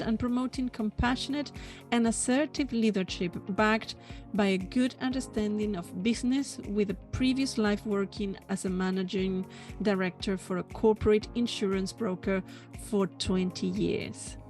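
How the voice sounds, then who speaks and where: A woman hosting a podcast, introducing her guest and asking questions in a measured way with frequent restarts. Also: medium pitch, fairly even intonation, darkish tone, soft background music. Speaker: podcast host.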